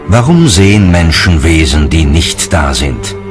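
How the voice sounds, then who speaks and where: A man's voice: very deep voice, sing-song, measured pace. A man reading scripted documentary narration as the narrator. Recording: music bed under narration.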